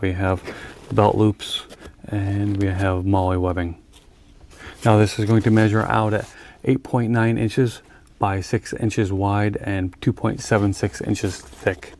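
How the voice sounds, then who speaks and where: A man hosting a gear review video, talking casually through a product in a measured, plain-spoken, explanatory way. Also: lowish voice, darkish tone, slight background noise. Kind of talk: casual product walkthrough to camera.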